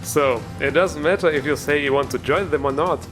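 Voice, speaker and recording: A man's voice: a little high, fairly quick, fairly bright tone, soft background music. A man hosting a video game mod show, giving informal, enthusiastic commentary with a joking streak. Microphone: voice-over recorded over gameplay music.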